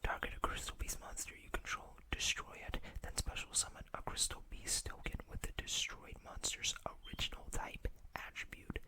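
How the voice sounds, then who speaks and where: An ASMR host speaking in a breathy, unvoiced whisper close to the microphone, unhurriedly reading text aloud with casual asides. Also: normal speed, few pauses.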